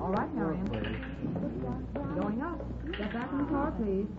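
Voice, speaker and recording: High, swooping, brisk elevator starter. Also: female voice, lively intonation. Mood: brisk, busy. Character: brisk, busy female elevator starter calling out orders. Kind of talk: 1950s radio crime drama acting. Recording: tinny, faintly noisy old radio recording.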